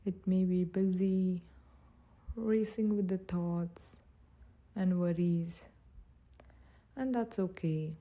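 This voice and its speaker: Very mellow, slow-speaking woman guiding meditation. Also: fairly low pitch, fairly even intonation. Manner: soothing, gentle, unhurried. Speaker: meditation guide. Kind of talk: guided meditation narration.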